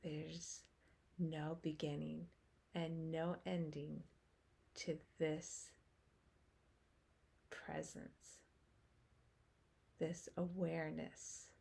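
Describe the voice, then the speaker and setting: Very slow, low-voiced woman guiding meditation. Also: level tone, gravelly, dark tone, breathy edge. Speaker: meditation teacher. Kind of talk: guided meditation, slow spoken instruction.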